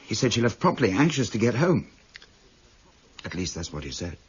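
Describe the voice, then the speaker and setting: An actor playing an anxious, hesitant hospital doctor with a husky voice in a radio drama. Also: male voice, on the low side, sing-song, moderate pace, heavily acted. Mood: uneasy. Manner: hesitant, agitated. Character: anxious hospital doctor, fiancé of a missing woman. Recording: dull, slightly muffled radio recording.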